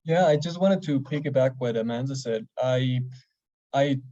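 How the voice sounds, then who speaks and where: A man from Ecuador speaking thoughtfully and a little haltingly as a webinar panelist over a video call. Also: medium pitch, dark tone, lo-fi sound.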